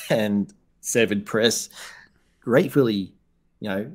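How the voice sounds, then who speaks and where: A man's voice: fairly low pitch, slow pace. An Australian man in his thirties, talking as a guest author over a headset video call, self-deprecating, laughing often and repeating words as he starts. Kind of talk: casual video-call interview.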